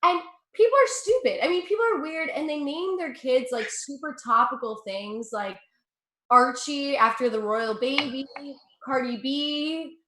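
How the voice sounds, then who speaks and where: A woman's voice: sits high, a little slow, fairly bright tone, reverberant, rough recording. A young woman from Russia with an American accent doing a chatty, profane stand-up set, laughing at her own jokes. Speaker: stand-up comedian.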